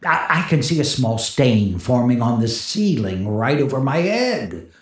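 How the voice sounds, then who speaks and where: An actor playing a frightened, lonely disc jockey in 1940s radio horror, stammering nervously and rambling to himself. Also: male voice, mid-range voice, big pitch swings, a little slow. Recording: echoey vintage 1940s radio recording with music.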